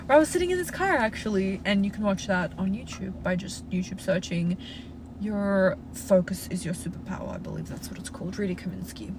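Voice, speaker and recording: A woman's voice: mid-range voice, background noise. A young Australian woman with Russian as her first language, casually answering viewer questions on a livestream with lots of "like" fillers. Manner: chatty, frequent "like" fillers, reassuring advice-giver. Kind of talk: casual live Q&A. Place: inside a parked car.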